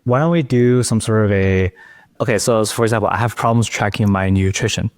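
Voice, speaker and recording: A man's voice: fairly low pitch, fairly quick, a little mellow, dry recording, clean studio sound. A man hosting a podcast, speaking in an informal, conversational way. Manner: informal, peppers speech with "like". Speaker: podcast host. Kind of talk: casual interview chat.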